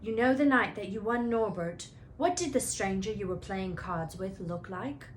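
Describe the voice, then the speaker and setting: A woman's voice: medium pitch, animated, slight background noise, close to an everyday voice. A woman voicing an earnest, inquisitive schoolboy with an insistent manner, reading a children's book aloud. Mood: pressing. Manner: insistent, pressing, halting when nervous.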